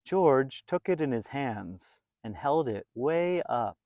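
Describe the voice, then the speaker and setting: A children's storyteller with a very slow, deliberate delivery and a close, dry sound. The reading is expressive and animated, with dramatic pauses, pitched as a read-aloud for young listeners. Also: dry recording.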